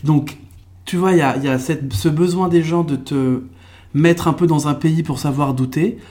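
A young man from France, a podcast guest, telling his life story in a relaxed, reflective way with lots of fillers and restarts. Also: on the high side, normal speed. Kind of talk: casual conversational storytelling.

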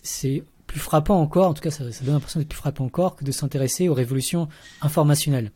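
A man's voice: mid-range voice, mellow, slightly rough, no room sound. A man in his thirties, a podcast guest and researcher, explaining at length with many fillers and restarts. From Vietnam, raised in the Paris region. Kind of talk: casual interview talk.

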